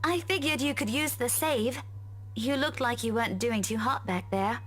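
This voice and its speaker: A woman's voice: fairly high pitch, normal speed, a bit bright. A woman voicing a friendly, blunt young woman who talks in a breezy, casual way.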